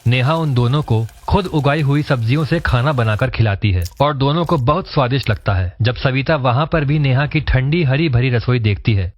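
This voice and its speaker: A man's voice: mid-range voice, moderate pace, few pauses, dry recording. A man narrating a scripted cartoon story as its storyteller.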